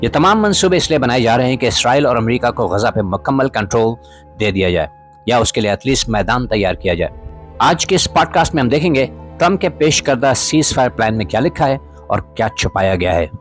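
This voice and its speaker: A man narrating scripted political commentary as a podcast host, in a formal, literary manner with rhetorical emphasis. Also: mid-range voice. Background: background music.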